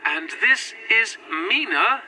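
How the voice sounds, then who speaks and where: A gentle formal victorian lady, super bright voice, old-timey gothic audio drama, slight noise behind. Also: fairly high pitch, expressive, takes it slowly, exaggerated voice. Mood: admiring. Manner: formal, old-fashioned, earnest. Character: gracious, devoted Victorian lady spirit, gentle and firm. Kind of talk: found-footage gothic audio drama acting.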